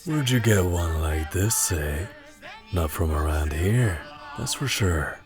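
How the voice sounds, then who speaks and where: An actor playing a gaunt, menacing pirate who taunts in a lewd, leering way in an audio drama. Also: male voice, low voice, lively intonation, over music. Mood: leering, mocking. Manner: taunting, lewd, slow drawl.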